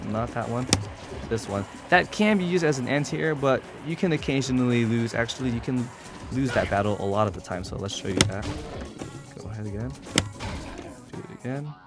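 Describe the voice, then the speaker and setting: Male gamer, average pitch, informal and blunt, presenting a how-to guide with prominent game music behind him. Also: brisk. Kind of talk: casual video game tutorial commentary. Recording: voice over loud game music. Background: video game music.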